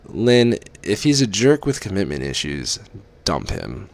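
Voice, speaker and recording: A man's voice: low-pitched, huge pitch swings, unhurried, heavily acted. A man reading aloud and voicing a blunt, protective young woman roommate who speaks her mind. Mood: frank. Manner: brash, outspoken.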